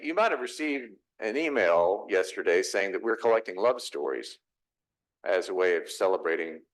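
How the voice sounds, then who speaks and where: Middle-aged man, Texas accent, low voice with wide swings, reflective pastor. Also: low-quality recording. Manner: reflective, conversational preaching with rhetorical questions. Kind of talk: sermon. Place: church sanctuary.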